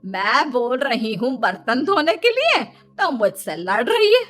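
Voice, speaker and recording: Woman voicing a sharp, scolding mother-in-law. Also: high-pitched, very animated, measured pace, soft background music, exaggerated voice. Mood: angry, complaining. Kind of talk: Hindi children's cartoon dubbing.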